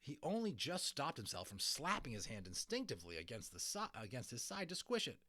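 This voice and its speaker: A man's voice: mid-range voice, wildly swooping pitch, brisk, pushed and bright, no echo, clean studio sound. A man reading a children's novel aloud as dramatic storybook narration. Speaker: amateur audiobook reader.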